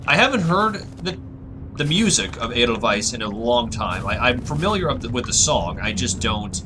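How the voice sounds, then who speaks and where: A man with a husky, tired voice, musing aloud in relaxed let's-play commentary as a gaming channel host. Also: mid-range voice, noisy background.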